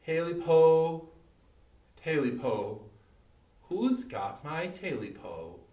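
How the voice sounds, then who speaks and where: A man voicing an eerie scaled night creature in a storyteller's folktale, with a drawn-out, haunting chant. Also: fairly high pitch, sing-song, dark tone. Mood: eerie calling. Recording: roomy, echoing, distant microphone.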